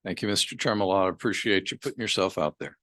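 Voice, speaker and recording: Fast-talking man with a low voice. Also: fairly bright tone, no room sound. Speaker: meeting chair. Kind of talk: brisk procedural remarks at a public meeting. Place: council meeting room.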